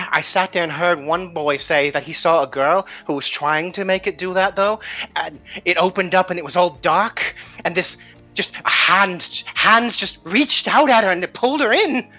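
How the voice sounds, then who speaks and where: A man playing an eager, higher-pitched street boy. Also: moderate pace, bright, forceful tone, dull audio, faint music behind. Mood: spooked, earnest. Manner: rambling, repeating himself eagerly. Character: excitable, gullible street boy spreading spooky rumours.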